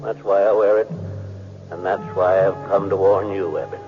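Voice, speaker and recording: An actor playing the mournful ghost of a dead rancher, speaking solemnly and slowly with foreboding in an old radio western. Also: male voice, sits low, fairly even intonation, music in the background. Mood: solemn warning. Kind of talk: old-time radio western drama acting. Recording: dull vintage radio recording.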